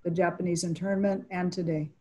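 Lady running a panel over video call, calm measured questions. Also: on the low side, dull audio. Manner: measured, thanks each guest and moves on. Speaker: panel moderator. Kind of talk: interview questions. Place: home living room, indoors.

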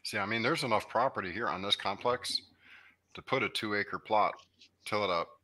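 A man's voice: on the low side, moderate pace, sharp tone. A man on a video call speaking bluntly in plain, casual talk.

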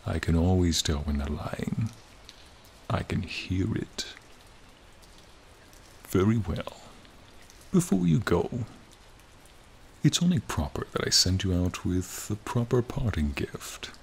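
A man voicing a charming, friendly ancient dragon in a soothing, formal, gently teasing ASMR roleplay. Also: sits low, big pitch swings, slow, creaky, long pauses, slight background noise, exaggerated voice. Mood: teasing, intimate. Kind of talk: ASMR roleplay, slow intimate monologue. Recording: rain and cave ambience behind.

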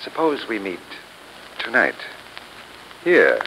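An actor playing a courteous, smooth-mannered church architect in a 1930s radio mystery. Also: male voice, on the low side, wildly swooping pitch, takes it slowly, pushed and bright, heavily acted. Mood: apologetic, obliging. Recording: noisy old 1930s radio recording.

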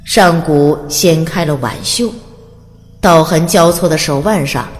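A woman's voice: sits low, measured pace, big-room sound. A woman narrating a fantasy audiobook in a dramatic, scripted storytelling style. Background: music.

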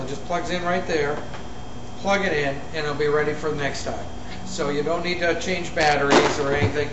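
Middle-aged American salesman, distant roomy sound. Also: on the high side. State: voice a little raw from talking all day. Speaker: salesman presenting products. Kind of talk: informal product demonstration. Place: indoor room in front of a banner. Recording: roomy, noisy, distant camera pickup.

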